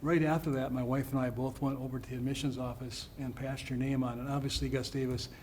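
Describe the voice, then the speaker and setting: Fast-talking man, mellow voice. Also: medium pitch, little pitch movement, slight background noise. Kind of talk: speaking at a public meeting. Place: meeting room.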